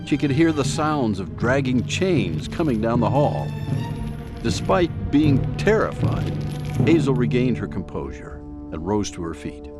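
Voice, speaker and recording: Male narrator for a mystery audio drama: dramatic, animated pitch, medium register, music bed. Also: unhurried. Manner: dramatic storytelling. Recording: prominent music behind narration.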